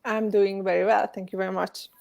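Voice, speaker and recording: A woman's voice: medium pitch, level tone, a little fast, vocal fry, darkish tone. A woman from Hungary with a Hungarian accent, answering interview questions over a video call in a webinar. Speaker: guest speaker.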